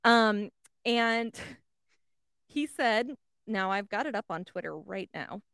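A woman's voice: mid-range voice, animated, slow pace, a bit bright, smooth, no room sound, clean studio sound. A woman co-hosting a podcast, casually relaying odd news in an amused, informal and sweary way. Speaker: podcast co-host.

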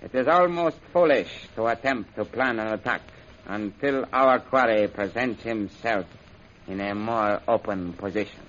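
An actor playing a commanding, methodical Chinese general in an old-time radio serial, speaking formally and deliberately. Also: male voice, lowish voice, a bit bright. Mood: measured authority. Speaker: general giving orders and reading out messages. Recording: dull, noisy old radio recording.

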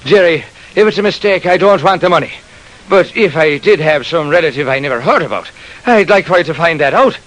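Here is a man acting as an honest, excitable working fellow. The voice sits high and jumps around in animated swings. It is played in classic radio drama style on a vintage recording. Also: brisk, low-quality recording. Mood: eager, anxious. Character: excitable honest Irish-American garbage collector turned millionaire.

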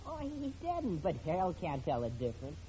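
A man's voice: a little high, huge pitch swings, normal speed. A male actor playing an eager, cheeky schoolboy son in an old radio situation comedy, using gee-whiz boyish slang. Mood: eager, boastful. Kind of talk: 1940s-50s radio situation comedy acting. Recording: dull old radio recording, faint noise.

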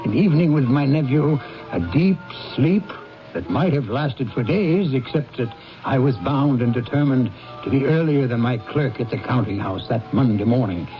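A man's voice: mid-range voice, lively intonation, normal speed, close to an everyday voice. A man playing a reformed old miser, merry and mock-stern, in theatrical 1970s radio drama style. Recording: muffled 1970s radio broadcast, faint music.

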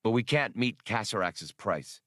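A man voicing a bold, hot-tempered space adventurer in a storybook, brisk and plain-spoken. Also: fairly low pitch, animated, a bit bright, dry recording, clean studio sound. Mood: businesslike.

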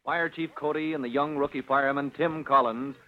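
Old-time radio announcer, thin vintage sound. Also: male voice, fairly high pitch, steady tone, brisk. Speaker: announcer and narrator. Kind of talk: dramatic old-time radio serial narration. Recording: thin, narrow-band old radio recording.

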